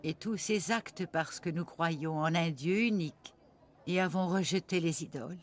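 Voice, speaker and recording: An actor playing an earnest, devout witness from the Prophet's household, giving a sober recollection in animated-documentary narration. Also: male voice, on the high side, normal speed, natural voice. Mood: sorrowful. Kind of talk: dramatized first-person narration for an animated documentary.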